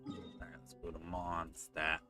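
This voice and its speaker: A man's voice: low voice, monotone, a little slow, over music. A man giving casual live game commentary to his chat, with a playfully exasperated, exclamatory manner. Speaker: game streamer.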